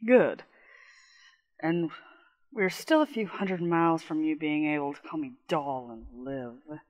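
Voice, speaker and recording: A man's voice: a little high, slow pace, muffled recording, voice pushed up. A man voicing a playful, commanding, flirtatious goddess in a radio-play style story. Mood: playful, teasing.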